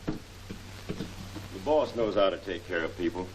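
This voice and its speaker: An actor playing a bullying gang henchman who barks gruff tough-guy slang in a 1940s film serial. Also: low voice, lively intonation, takes it slowly, a little mellow, slight background noise, low-quality recording. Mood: wary. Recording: old 1940s film soundtrack.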